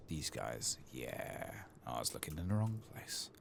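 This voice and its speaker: Guy with a super low gravelly voice doing soft asmr game commentary, slow, noisy background. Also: big pitch swings. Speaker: gameplay streamer. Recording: clearly audible background noise.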